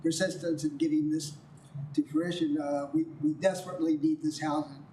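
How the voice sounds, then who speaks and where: A soft-spoken man presiding at a city council meeting, asking for a name for the record. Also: on the high side, level tone, normal speed, roomy sound, slight background noise, low-quality recording.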